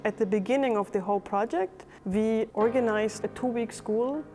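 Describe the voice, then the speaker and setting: A middle-aged woman, a physics professor and project coordinator, explaining in a measured way in a documentary interview. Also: on the high side, over music.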